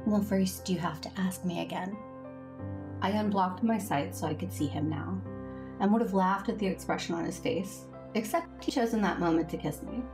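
Woman acting earnest low-voiced female Jedi Master. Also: lightly acted. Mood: earnest, loving. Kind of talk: first-person audio drama narration and dialogue.

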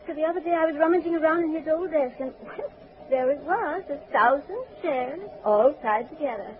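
High, fluttery little old lady, 1940s radio actress. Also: fairly quick, natural voice. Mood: chatty, eager. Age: older. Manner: hesitant, fluttery, polite, folksy. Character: trusting, flustered little old widowed lady. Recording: noisy, tinny 1940s radio recording.

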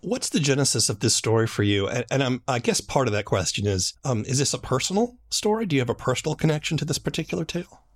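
A man with a medium-pitched voice hosts an interview. He talks conversationally, putting his questions and thoughts in a measured, thoughtful way. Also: very animated. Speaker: podcast host, interviewer.

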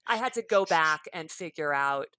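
Bright-voiced chatty woman author. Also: low voice, expressive, moderate pace, no room sound. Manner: chatty, laughs often, trails off and restarts. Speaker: guest author. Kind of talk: conversational interview.

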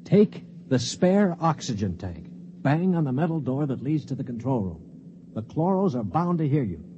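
Guy voicing a cynical tough guy narrator, barks orders, warm low tone, old radio. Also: sing-song, moderate pace, a little hiss or hum, natural voice. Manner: brusque, commanding. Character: cynical male space prisoner, Stewart, narrator. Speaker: narrator and lead. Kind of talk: 1950s radio drama acting. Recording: dull old radio broadcast.

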